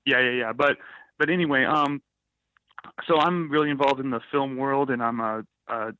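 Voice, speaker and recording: A man's voice: medium pitch, moderate pace, sharp tone, no room sound, highs cut off. A man in his twenties calling in to a live show, eager and chatty. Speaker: caller. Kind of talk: phone call to a live show.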